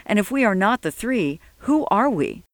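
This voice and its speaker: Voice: medium pitch, very animated, moderate pace, no echo, voice pushed up, natural voice. A narrator voicing a devout, weary old man who speaks with solemn, earnest emphasis in an audiobook. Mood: weary, dismayed. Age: older.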